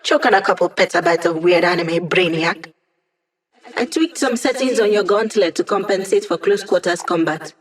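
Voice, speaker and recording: A woman's voice: fairly low pitch, lively intonation, moderate pace, natural voice. A woman playing a brainy, wry digital hacker in a video game, talking casually and playfully as the squad's tech support. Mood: breezy, wry. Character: cheeky, brainy female hacker, a digital holographic young woman.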